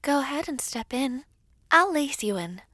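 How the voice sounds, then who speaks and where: A woman playing a gentle, frail young woman who is eager to help, in an audio roleplay drama. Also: high-pitched, very animated, moderate pace, dry recording, studio quality, heavily acted. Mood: gentle, helpful.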